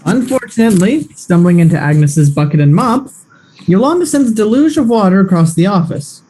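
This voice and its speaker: An actor playing a 1940s radio announcer narrating a serial with melodramatic flair. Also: male voice, high voice, lively intonation, a little fast, mellow, husky, poor audio. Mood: telling. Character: old-time male radio announcer and narrator. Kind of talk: scripted narration.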